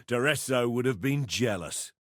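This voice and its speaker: A man playing a cocky, menacing arena brawler in a video game, gravelly and taunting in short punchy barks. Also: medium pitch, a bit bright, no echo, studio quality, full character voice.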